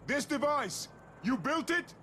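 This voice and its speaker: An actor playing an earnest, determined soldier captain, terse and serious, in a video game cutscene. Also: male voice, very high voice, sing-song, unhurried, pushed and bright, slight background noise, full character voice. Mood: serious, curious.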